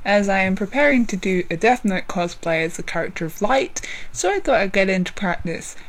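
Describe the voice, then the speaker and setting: Rambling woman vlogger with a lowered voice. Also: expressive. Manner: rambling, many ums and apologies; deliberately lowered voice. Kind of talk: casual chat update.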